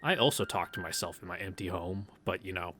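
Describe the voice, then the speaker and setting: A man's voice: sits low, brisk, background noise. A man hosting a visual novel read-through, mixing casual, rambling commentary with reading aloud. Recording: game music under the voice. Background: game background music.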